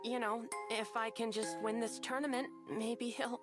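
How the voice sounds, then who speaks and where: A woman's voice: fairly high pitch, steady tone, normal speed, lightly acted. A woman voicing a scrappy young boy inventor in a video game, brash and slangy. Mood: sorrowful pleading. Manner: brash, slangy, emotional pleading. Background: game music.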